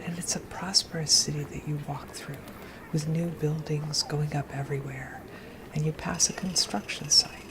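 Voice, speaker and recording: Low-pitched woman leading a gentle guided meditation. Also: steady tone, noisy background. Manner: gentle, unhurried, breathy and often near whispering. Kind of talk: guided meditation, slow soothing narration. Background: meditation music.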